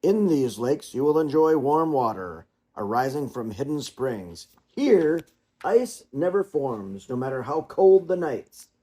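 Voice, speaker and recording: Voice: mid-range voice, sing-song, a little slow, warm tone, slightly rough. A storybook reader voicing a pompous, long-winded father swan who lectures his children. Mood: grandly.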